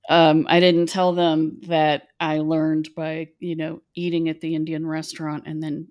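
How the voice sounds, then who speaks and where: A middle-aged woman from West Virginia speaks with a somewhat low voice. She tells stories in a chatty, meandering, self-deprecating way, like a relaxed podcast conversation. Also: unhurried, dull audio. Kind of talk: casual storytelling chat.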